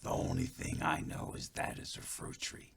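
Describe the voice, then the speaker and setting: A man voicing a calm, stern male forest guide spirit in a storytelling piece, speaking slowly and solemnly in a hushed, whispery voice. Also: slight background noise, natural voice. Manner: slow, solemn, measured.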